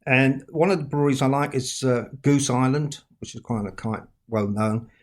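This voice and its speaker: A man's voice: medium pitch, fairly even intonation, moderate pace. Chatty middle-aged London man. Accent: London English. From England. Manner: chatty, teasing, laughs while talking. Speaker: author guest. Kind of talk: casual podcast chat. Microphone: video call.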